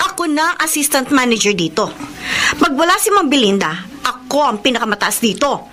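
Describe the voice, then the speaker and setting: A bossy Filipino assistant manager with a high voice that swings widely. She is demanding and threatening, in radio drama style, over a noisy track. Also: very animated, brisk. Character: bossy, arrogant female assistant manager bullying a subordinate.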